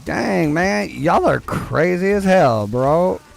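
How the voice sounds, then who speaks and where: A young man with a low voice, streaming a game and chatting casually, rambling and cursing as he plays. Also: animated, slow pace, vocal fry, faint music behind. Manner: rambling, profane, joking, talks to chat and to the game. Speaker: gaming streamer. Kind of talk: casual gameplay commentary.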